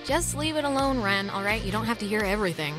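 A woman's voice: medium pitch, animated, quick pace, close to an everyday voice. A woman voicing a skeptical, sardonic teenage girl in naturalistic video game dialogue, casual, dry and pointed. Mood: irritated. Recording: game music under the voice.

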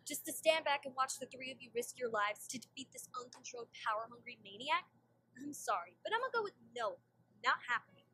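A woman voicing a proud, stubborn young female pony royal in a fan animation, earnest and forceful. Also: sits high, expressive, brisk, husky, full character voice. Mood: indignant. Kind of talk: animated fan drama dialogue.

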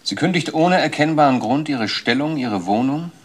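An actor playing a brisk detective summing up facts in a crime radio play. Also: male voice, a little high, animated, slight background noise, lightly acted. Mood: pondering.

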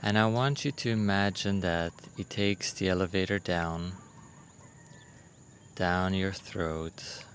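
A low-pitched man guides a meditation very slowly and gently. He leaves long pauses between short, soothing instructions. A faint chirr of crickets and insects runs behind his voice. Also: slight background noise.

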